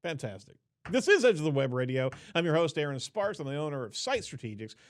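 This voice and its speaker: A man's voice: on the high side, sing-song, fast. A man hosting a news podcast, chatting in an upbeat way and laughing often. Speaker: podcast host. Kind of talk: casual news podcast chat.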